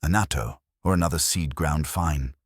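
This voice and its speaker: A man's voice: very deep voice, moderate pace, husky, dry recording, studio quality. A man voicing a proud, scornful professional woman in an audiobook, clipped and precise as she gives exact verdicts. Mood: cool contempt.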